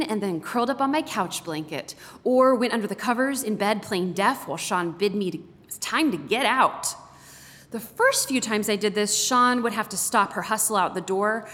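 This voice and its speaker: A woman reads prose aloud from a book at a medium pitch. Her voice rings out in a big, reverberant space, with a long tail of echo after the words. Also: a bit bright. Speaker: guest reader. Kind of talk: read-aloud book excerpt. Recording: echoey hall with long reverb.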